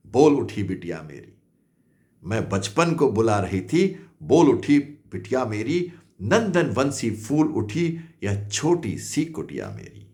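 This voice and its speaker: An older man giving a dramatic, expressive poetry recitation, repeating lines for emphasis. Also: mid-range voice. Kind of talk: dramatic Hindi poem recitation.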